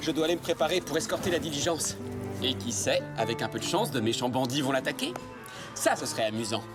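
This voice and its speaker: A man's voice: medium pitch, animated, quick pace, loud music behind the voice, close to an everyday voice. An actor playing a playful, confident young swordsman hero in a breezy, teasing cartoon style. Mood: playful, protective. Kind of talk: animated series dubbing.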